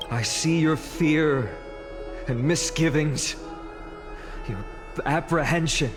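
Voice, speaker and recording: A solemn young hero speaks to a whole city in a game cutscene. His voice sits high and his delivery is slow and deliberate, each phrase weighed. Orchestral music plays strongly beneath him. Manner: solemn, impassioned public address. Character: young male hero, earnest orator addressing a city.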